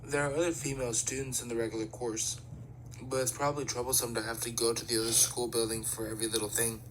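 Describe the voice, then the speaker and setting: A man plays a studious, fussy teenage boy from an anime fandub. The voice is medium-pitched, formal and matter-of-fact, explaining things precisely. There is faint noise on the home recording. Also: little pitch movement, fast, a bit bright, natural voice, rough recording. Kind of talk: amateur anime fandub acting.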